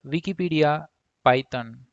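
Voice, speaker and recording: A man's voice: fairly high pitch, measured pace, lo-fi sound, noisy background. A man with an Indian accent presenting an informal programming tutorial, explaining step by step in a repetitive way and checking in with "right?". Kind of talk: informal screencast code walkthrough.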